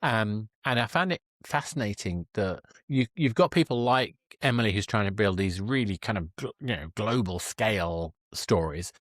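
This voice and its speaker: Low-voiced man hosting an interview podcast. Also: expressive, moderate pace, few pauses, fairly bright tone, no echo, studio quality. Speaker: podcast host interviewing a guest. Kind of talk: conversational interview.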